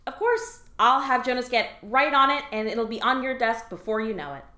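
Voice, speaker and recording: In a roomy, echoing space, a slick female alien pencil-pusher from an audio comedy. Her voice is on the high side and swings around a lot, with sarcasm under a breezy, bossy front. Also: lively intonation, normal speed, bright, forceful tone. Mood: falsely breezy. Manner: sarcastic, falsely breezy with superiors. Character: Bethany, sardonic female alien office clerk, bossy and slick. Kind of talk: scripted audio comedy acting.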